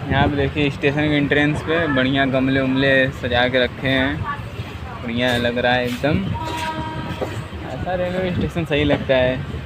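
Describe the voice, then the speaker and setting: A man's voice: steady tone, normal speed. A young man in his twenties, chatting casually to viewers as a travel vlogger from a moving train's doorway, phone held at arm's length. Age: 20s. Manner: chatty, addresses viewers as brother and guys. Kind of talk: casual travel vlog commentary. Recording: loud train noise. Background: loud train rumble and wind.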